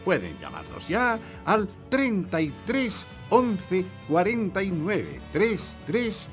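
A theatrical male quiz host in a comic radio play. His highish voice swoops dramatically up and down as he delivers formal, polite announcer patter. There is some music behind him. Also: huge pitch swings, slow, full character voice. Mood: showy, announcing.

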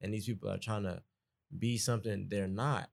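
A young man from East Atlanta with a Southern Atlanta accent, a college student talking earnestly in a relaxed podcast chat. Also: fairly low pitch, moderate pace, creaky. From East Atlanta, Georgia. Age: young adult. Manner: relaxed, earnest, conversational, dialect grammar. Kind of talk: casual podcast conversation.

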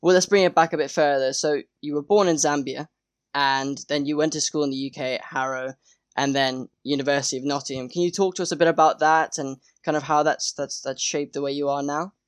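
A man's voice: fairly high pitch, fairly even intonation, a little fast, clear, resonant tone, no echo, studio quality. A young man, a student, hosting a podcast interview in a polite, careful way with hedging fillers.

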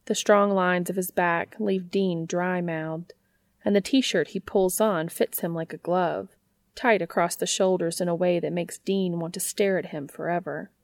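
A woman's voice: lowish voice, fairly even intonation, measured pace, dry recording. A woman narrating a piece of written fiction in the style of an audiobook.

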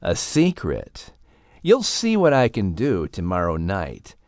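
A man voicing a suave, secretive snake in a children's storybook, with a courtly, drawn-out way of talking. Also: sits low, huge pitch swings, a little mellow, dry recording, studio quality, pitched below the performer's own voice, exaggerated voice. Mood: coy, confident.

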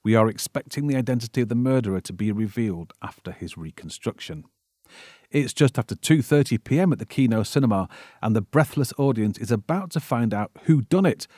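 A man's voice: medium pitch, animated, a little fast, dark tone, no room sound, clean studio sound. A British man hosting a podcast, reading scripted narration as a wry, theatrical storyteller. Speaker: podcast host.

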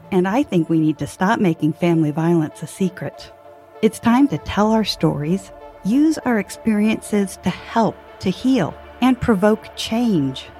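A woman podcast host reading scripted trailer narration in a measured, earnest way. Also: mid-range voice, a little mellow. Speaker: podcast host and college professor.

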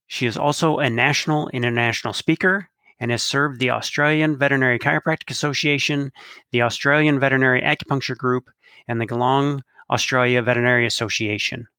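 A man's voice: medium pitch, fairly even intonation, fast, bright, forceful tone, no echo. A man hosting an interview, delivering introductions and questions to a guest. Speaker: interviewer and host.

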